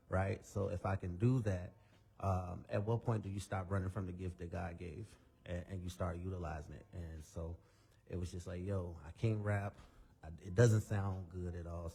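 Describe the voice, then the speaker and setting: Gravelly-voiced man, relaxed interview guest. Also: low voice. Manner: relaxed, candid, drawn-out storytelling. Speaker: guest singer. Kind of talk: casual interview chat.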